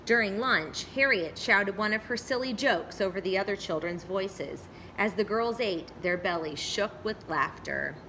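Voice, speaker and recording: A woman storyteller reading a children's story aloud in an expressive narrating style. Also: medium pitch, a little slow, few pauses, slight background noise, rough recording.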